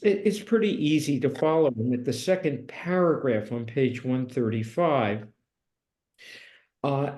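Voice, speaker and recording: A man in his seventies teaching a course, talking in a halting way with many restarts and fillers, and reading passages from a book aloud. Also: a little high, darkish tone. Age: 70s. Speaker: course instructor. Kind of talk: lecture with read-aloud passages.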